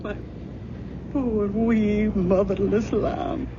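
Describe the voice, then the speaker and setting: A woman's voice: mid-range voice, fairly even intonation, drawn-out words. An actress playing a tender, pious, motherly Scottish woman with a sorrowful manner in an old-time radio drama. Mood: grieving, pious. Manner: gentle, sorrowful. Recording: muffled, noisy vintage radio recording.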